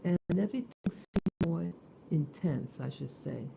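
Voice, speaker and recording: A woman just awake, hoarse and soft-spoken, talking hesitantly in a casual morning vlog. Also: low voice, animated, measured pace, noisy background. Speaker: vlogger.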